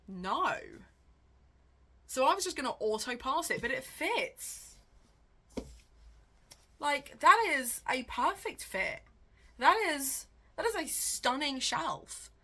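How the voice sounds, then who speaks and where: A woman with a fairly high, very bright voice, talking in a casual vlog style. She thinks out loud, questioning herself as she goes, with a wry and animated delivery. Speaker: book vlogger, host.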